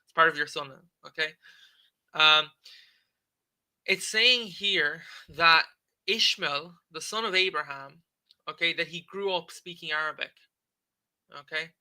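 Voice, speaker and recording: A man talks through a text for his livestream audience in a loose lecture, tagging "okay?" onto his points and filling pauses with "um". His voice sits a little high, sounds very bright and forward, and stays conversational. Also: clear, resonant tone. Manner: conversational, frequent "okay?" checks and filler "um". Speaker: livestream debate host. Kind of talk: casual lecture reading and commenting on a text.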